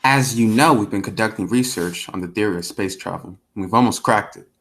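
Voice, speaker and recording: A man's voice: lowish voice, brisk, fairly bright tone. A man acting a stern, demanding company boss in an amateur radio play, formal and authoritative.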